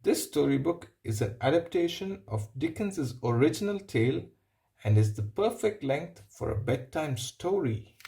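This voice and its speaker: A man reading a picture book aloud, pausing for simple explanatory asides and checking in with the listener. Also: medium pitch, creaky, dark tone. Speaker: storybook reader.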